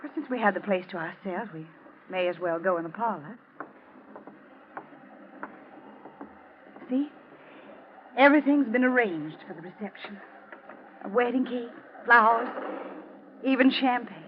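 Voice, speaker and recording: An actress playing a cold, taunting wife bent on revenge, in an ominous, theatrical 1940s radio drama style. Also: female voice, mid-range voice, expressive, moderate pace, pauses often, lightly acted. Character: vengeful wife, cold, taunting, avenging her father. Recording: muffled, noisy old radio recording.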